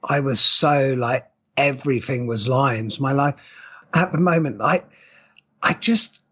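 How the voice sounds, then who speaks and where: A man with an English accent and a cold sounds husky and croaky. He chats in a wry, self-mocking way, stumbling over his starts, and the recording comes across muffled and lo-fi. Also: medium pitch, unhurried, fairly bright tone. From England. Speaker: podcast co-host. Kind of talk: casual chat. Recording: dull lo-fi sound.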